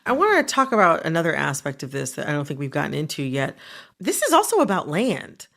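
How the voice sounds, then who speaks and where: A woman with a notably low voice hosts the show. She asks questions in a curious, conversational way and fills in background for listeners. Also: huge pitch swings, fast, vocal fry, a bit bright. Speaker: podcast host.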